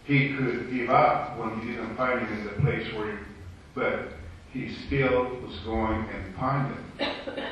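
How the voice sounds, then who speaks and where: A man's voice: lowish voice, steady tone, takes it slowly, fairly bright tone, muffled recording, a little hiss or hum. A man with a Romanian accent, a native Romanian speaker, sharing his thoughts hesitantly in a group Bible study, recorded far off in an echoey room. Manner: hesitant, searching for English words. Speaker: participant.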